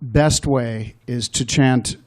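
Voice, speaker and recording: A man's voice: medium pitch, steady tone, takes it slowly. A man from the audience asking a careful, thoughtful question during a spiritual class.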